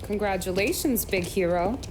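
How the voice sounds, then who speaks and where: A woman's voice: mid-range voice, normal speed, lightly acted. An actress playing a self-assured woman at a mob bar, wry and teasing. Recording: noisy bar with clinking glasses.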